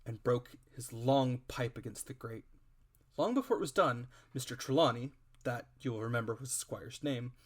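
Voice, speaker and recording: A man's voice: mid-range voice, a bit bright. Male narrator, mid-range voice, dramatic reading, scripted storytelling. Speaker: audiobook reader.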